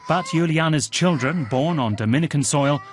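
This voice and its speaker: A man narrating a television news report in a measured, scripted voice, also voicing translated quotes. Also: fairly high pitch. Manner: measured, even reporting delivery.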